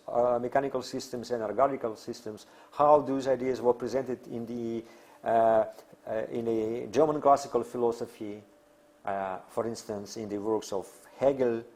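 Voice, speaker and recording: A man who is a non-native English speaker lecturing on theory in halting, deliberate phrases with emphatic pauses. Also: mid-range voice, a little mellow. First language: not English. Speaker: academic lecturer.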